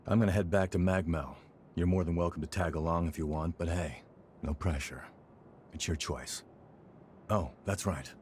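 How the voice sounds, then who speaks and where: An actor playing a loyal, frank young fighter in a video game, talking casually. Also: male voice, low-pitched, moderate pace, darkish tone, a little hiss or hum, close to an everyday voice. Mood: frank, easygoing. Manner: casual, colloquial. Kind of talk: video game cutscene dialogue.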